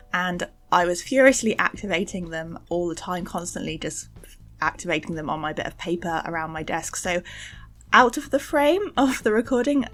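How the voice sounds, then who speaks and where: A young woman narrates a craft video in a fairly low voice. She is chatty and informal, a little self-deprecating as she explains her steps. Soft music plays behind her. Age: young adult. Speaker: host of an art channel. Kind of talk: casual craft voice-over narration. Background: faint background music.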